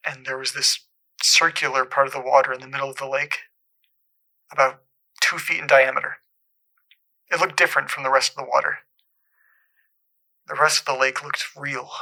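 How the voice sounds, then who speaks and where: An actor playing a troubled caller in an audio drama, haltingly and earnestly recounting an eerie supernatural memory. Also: male voice, medium pitch, sharp tone, exaggerated voice, rough recording. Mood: earnest wonder.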